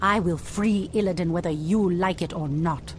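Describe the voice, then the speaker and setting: An actress playing a proud, resolute female elf high priestess in a game cutscene. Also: moderate pace, slight background noise, lightly acted. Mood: defiant. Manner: calm, resolute. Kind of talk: video game cutscene acting. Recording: game audio with music underneath.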